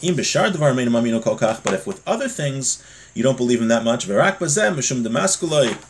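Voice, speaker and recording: A man giving a religious lesson, reading Hebrew phrases aloud and then explaining them plainly in English. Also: medium pitch. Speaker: religious teacher.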